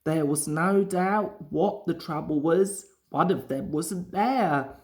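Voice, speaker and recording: A woman's voice: low voice, takes it slowly, darkish tone. A woman reading a children's story aloud as its narrator.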